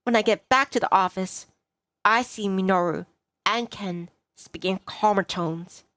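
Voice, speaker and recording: A woman's voice: medium pitch, measured pace, no echo. A woman reading a visual novel aloud expressively, as a streamer narrating a story. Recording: music behind the voice. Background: soft guitar music.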